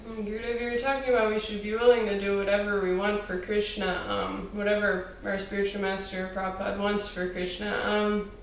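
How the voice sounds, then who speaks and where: A woman in the audience asks a hesitant question, pausing on fillers as she speaks. She is heard from far off the mic. Heavy echo fills the hall, with a faint hum of noise behind her. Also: medium pitch, little pitch movement. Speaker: audience member asking a question. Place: temple hall. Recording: distant mic in a very echoey hall.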